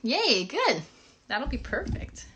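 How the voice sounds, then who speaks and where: A woman co-hosting a children's puppet show, chatting casually in an encouraging, gently instructive way. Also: high voice, big pitch swings, takes it slowly, a little hiss or hum. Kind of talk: casual interactive chat with children.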